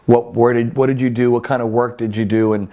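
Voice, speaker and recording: Male interview host, middle pitch, plain conversational prompting. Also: steady tone, brisk, warm tone.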